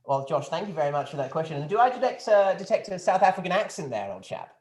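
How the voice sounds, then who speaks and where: A man's voice: sits high, very animated, fast, darkish tone, reverberant. A man playing a pompous, flippant posh government minister who rambles and stumbles over a video call. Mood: smug. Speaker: politician taking questions. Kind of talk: satirical press conference sketch.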